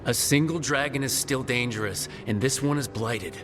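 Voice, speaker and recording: An actor playing a decisive, gruffly caring leader of adventurers in a fantasy video game. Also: male voice, medium pitch, moderate pace, over music, close to an everyday voice. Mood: determined. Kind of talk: dramatic fantasy game dialogue.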